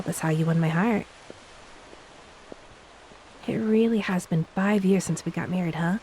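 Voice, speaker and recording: Mellow, affectionate ex-mermaid wife, acted by a woman. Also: fairly low pitch, close to an everyday voice, rough recording. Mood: playful, loving. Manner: tender, teasing, speaks softly to a beloved spouse. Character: affectionate, homesick ex-mermaid wife, gentle and playful. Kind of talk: romantic audio roleplay, intimate scripted acting. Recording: steady hiss behind the voice.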